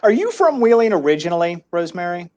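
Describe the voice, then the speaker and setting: A man's voice: high voice, very animated, fast talker, darkish tone, highs cut off. A man with an American accent hosting an interview in a chatty, informal, conversational way. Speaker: interview host.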